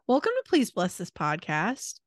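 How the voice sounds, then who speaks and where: A woman with an American accent chats casually as a podcast co-host. Her voice is middling in pitch, and she tells stories in a wry, chatty way. The sound is very dry, with little room to it. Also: wildly swooping pitch, measured pace, no echo. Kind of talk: casual chat.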